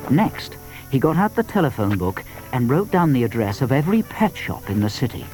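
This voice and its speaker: Male British narrator for a children's audiobook: expressive, animated delivery in a medium register, light music behind. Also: medium pitch, brisk, poor audio. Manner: expressive storytelling. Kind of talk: scripted children's story narration.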